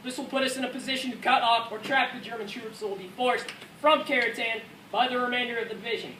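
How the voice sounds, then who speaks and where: A man plays a dutiful American paratrooper telling of combat in a war drama. The delivery is declamatory, with a high, piercing tone. The voice is picked up from far off, with faint noise behind it. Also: steady tone, quick pace, sharp tone, roomy sound. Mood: sober, matter-of-fact. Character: male American WWII paratrooper, dutiful veteran recounting combat. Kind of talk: rehearsed dramatic war narration.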